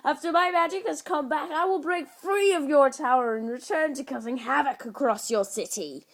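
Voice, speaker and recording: A woman's voice: high-pitched, normal speed, voice pushed up. A pompous little trickster god in a boy's body, acted by a woman reading a story. He speaks in formal, indignant phrases with a sarcastic edge. The delivery is raised and emphatic. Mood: threatening. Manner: haughty, formal wording, indignant.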